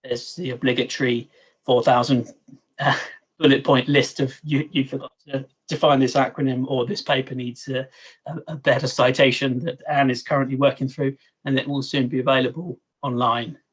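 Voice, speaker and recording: A man giving a scientific presentation in an online meeting, halting and often repeating words as he explains. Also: medium pitch, level tone, breathy edge. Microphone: online meeting microphone.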